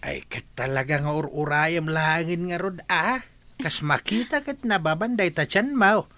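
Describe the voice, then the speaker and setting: Fatherly elder for audio drama, male actor, concerned, animated, forceful tone over music. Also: on the high side, measured pace, dry recording. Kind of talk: dramatised audio story acting.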